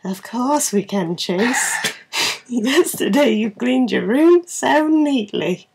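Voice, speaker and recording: Affectionate mother of a small boy, played by a male reader; gentle, slow, slightly husky. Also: extremely high pitch, animated, voice pushed up, heavily acted.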